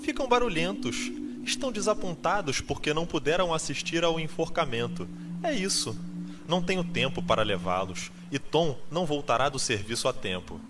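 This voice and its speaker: A man's voice: a little high, expressive, moderate pace, background noise, voice pushed up, natural voice. A man voicing a nervous, apologetic, worn-down mother in an audiobook. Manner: fretful, apologetic.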